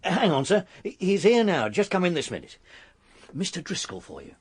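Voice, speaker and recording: A man's voice: high voice, huge pitch swings, normal speed, slightly rough, no echo, exaggerated voice. An actor playing a deferential, plain-spoken British male warder in a radio drama, matter-of-fact in manner. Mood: brisk, deferential.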